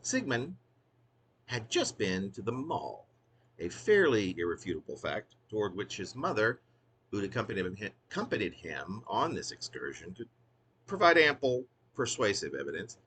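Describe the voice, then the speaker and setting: A man's voice: on the low side, big pitch swings, moderate pace, a bit bright, slight background noise. A man who is a poet reading his own wry, literary work in a theatrical, emphatic way, drawing out phrases.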